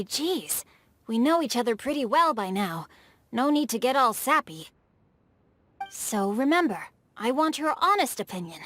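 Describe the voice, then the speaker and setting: A woman's voice: fairly high pitch, a bit bright, exaggerated voice. A woman voicing a refined, bookish, playful boy with eloquent, formal phrasing in a video game. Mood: casual, friendly. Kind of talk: video game character voice acting.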